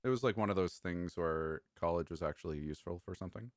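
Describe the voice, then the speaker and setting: A man's voice: deep voice, fast talker, no echo, clean studio sound. A man co-hosting a casual chat, joking around and sometimes trailing off mid-thought. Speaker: podcast co-host.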